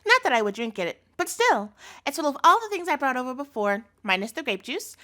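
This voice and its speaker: A woman's voice: high voice, big pitch swings, fairly quick, pushed and bright, exaggerated voice. A woman playing an obsessive chef girlfriend in a scripted audio roleplay, sugary and coaxing with a threatening edge, speaking intimately to the listener. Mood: falsely cheerful. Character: obsessive yandere chef girlfriend, sweet but menacing.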